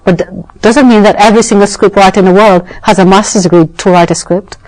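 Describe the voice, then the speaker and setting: A woman's voice: mid-range voice, fairly even intonation, quick pace, a little mellow, poor audio. A British woman, a novelist and scriptwriter, answering interview questions in a thoughtful, emphatic way with frequent self-corrections. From Britain.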